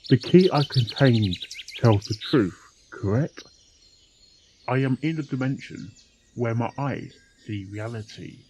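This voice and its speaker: A man playing a determined, sharp-witted pony detective in an amateur audio drama, reasoning with deliberate emphasis. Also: mid-range voice, a little hiss or hum.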